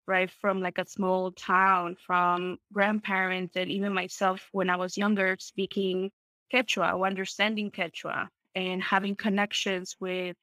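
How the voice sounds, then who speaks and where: A woman's voice: mid-range voice, little pitch movement, measured pace, bright, forceful tone, no echo. A woman from Peru tells her personal story in an open, reflective, conversational way, often checking in with small tag questions. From Peru, small town. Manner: reflective, open, often seeks agreement with tags.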